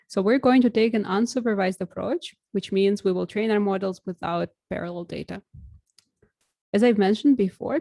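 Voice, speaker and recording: A young woman, a native Russian speaker, presents her research in a steady, explanatory lecture. Her voice is mellow and warm. The sound is close and dry, from a desk mic in a small room. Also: mid-range voice, no echo. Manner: clear and measured, occasional fillers. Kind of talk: recorded academic talk over slides.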